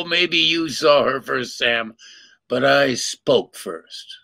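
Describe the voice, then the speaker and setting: A man's voice: medium pitch, animated, very slow, vocal fry, a bit bright, exaggerated voice. An actor playing an eager, smitten male detective partner in an old-time radio drama, bumbling and ingratiating. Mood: amused.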